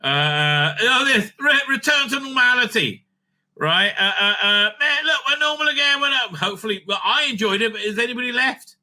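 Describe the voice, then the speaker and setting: A man's voice: extremely high pitch, animated, moderate pace, pushed and bright. A man giving casual, unscripted online commentary, sweary, sarcastic and exasperated in manner. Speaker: online commentator reviewing audio drama releases.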